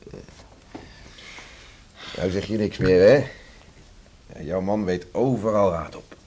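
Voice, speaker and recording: A man's voice: low-pitched, slow, a little mellow. A man playing a cheerful, coaxing island farmer and father in a radio drama, folksy and reassuring. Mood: soft, reassuring. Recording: noisy old radio play recording.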